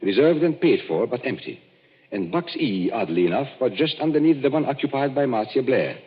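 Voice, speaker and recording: An actor playing a suave stage magician who lays out clues in a smooth, measured, knowing way in an old radio drama. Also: male voice, mid-range voice, animated, lightly acted. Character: suave middle-aged male stage magician, sleuthing. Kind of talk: 1940s radio acting. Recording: dull old radio broadcast, reverberant.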